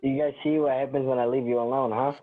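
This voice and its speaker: A man's voice: mid-range voice, steady tone, quick pace, close to an everyday voice. A man reading a sarcastic, cynical, bitter male character in an amateur sitcom table read. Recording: tinny phone-like audio.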